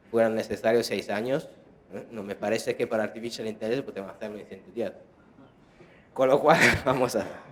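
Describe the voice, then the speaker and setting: A man with an Italian accent, whose first language is Italian, giving a conference lecture with many fillers and restarts. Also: fairly low pitch. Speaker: conference speaker.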